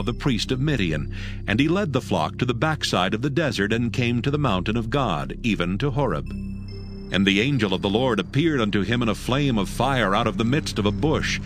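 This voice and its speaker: A man narrating scripture as storyteller in a dramatized audio production. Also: fairly low pitch. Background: music.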